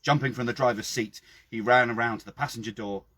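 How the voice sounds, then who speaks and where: A man with a husky voice reading his own story aloud outdoors, in a dramatic storytelling style. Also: medium pitch, steady tone, fast, pushed and bright, no echo. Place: outdoors by a well.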